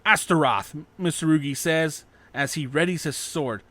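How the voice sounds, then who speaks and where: A man's voice: on the high side, expressive, unhurried, a bit bright, no echo. A man narrating scripted fan fiction as an audiobook.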